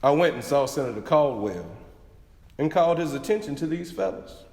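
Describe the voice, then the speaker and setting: Animated young male witness acted by a man, echoey hall. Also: mid-range voice, normal speed, natural voice. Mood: earnest. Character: young former slave giving eyewitness testimony, animated, earnest. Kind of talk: dramatic reading of historical testimony. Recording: echoey hall with long reverb.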